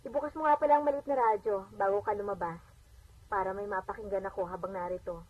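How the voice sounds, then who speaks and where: An actress playing a devout, lively working mother and bossy older sister in a radio drama, speaking in an expressive, conversational way. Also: medium pitch, fast, no room sound, lightly acted. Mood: brisk. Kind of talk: Filipino radio drama acting. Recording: dull radio playback, distant, music behind.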